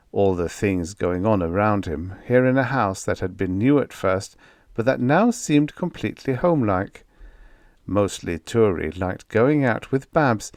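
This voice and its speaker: A man reading a vintage science fiction story aloud as an audiobook narrator, in a measured storytelling delivery. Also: lowish voice, darkish tone, no echo, clean studio sound.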